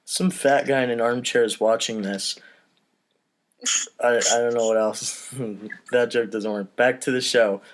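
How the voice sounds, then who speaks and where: A man's voice: mid-range voice, fairly even intonation, unhurried. A man casually reading out script stage directions for a comedy table read, with informal off-the-cuff asides.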